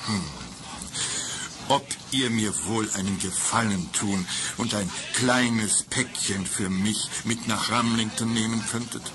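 An actor playing an older, avuncular country pastor in a children's radio play, speaking in an unctuously friendly, patronising way. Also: male voice, on the low side, fairly even intonation, takes it slowly. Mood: polite, coaxing. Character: genial, polite, avuncular male country pastor. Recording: old audio drama with background noise.

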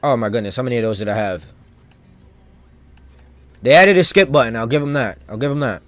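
A man streaming a video game with casual live commentary, rambling and thinking out loud in loose slang. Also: mid-range voice, expressive, a little fast.

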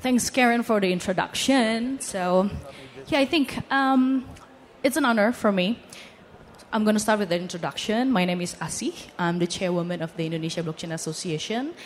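A woman's voice: mid-range voice, normal speed, a little hiss or hum. A woman moderating a conference panel on stage with a handheld mic, friendly and a little hesitant. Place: large expo hall stage.

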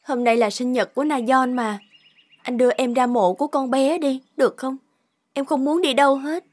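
A woman's voice: high-pitched, steady tone, normal speed, no room sound. A woman playing a fragile, grieving, guilt-ridden young mother in a cartoon melodrama, plaintive and emotional. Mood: downcast, puzzled. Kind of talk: melodramatic cartoon drama acting. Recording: studio voice under loud music.

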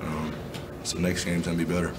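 Man in his twenties, athlete, press conference, low-key, monotone, background noise. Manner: low-key, measured, frequent "you know" and "um". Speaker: basketball player at postgame press conference. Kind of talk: postgame press conference answers. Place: press room.

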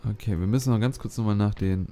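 A man's voice: fairly low pitch, fast, warm tone. A man hosting a let's play, chatting casually and thinking aloud with many fillers. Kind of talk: casual gameplay commentary.

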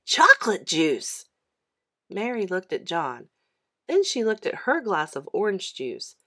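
Animated woman reading a children's story. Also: medium pitch, measured pace. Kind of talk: children's audiobook narration.

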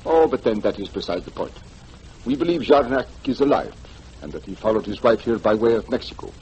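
An actor playing a polite, measured gentleman schemer with deliberate pauses, in 1940s radio style. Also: lowish voice, expressive, breathy edge. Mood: calm, polite. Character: courteous, measured South American gentleman schemer. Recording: noisy old 1940s radio recording.

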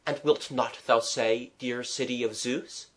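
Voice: mid-range voice, very animated, unhurried. A reader voicing a genial, well-travelled man who paints, with a dry, kindly, musing manner in a storybook. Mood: soft, musing.

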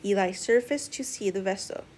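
A woman's voice: mid-range voice, normal speed, a little mellow. A woman storyteller reading a children's picture book aloud as scripted narration.

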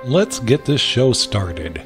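A man's voice: mid-range voice, very animated, slow pace. A man announcing a vintage radio show in a scripted introduction. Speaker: podcast announcer. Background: music.

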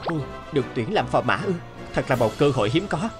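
Actor as young cartoon hunter, ambitious dreamer talking to himself, animated intonation. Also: male voice, medium pitch, fairly quick, over music, close to an everyday voice. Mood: scheming. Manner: musing to himself.

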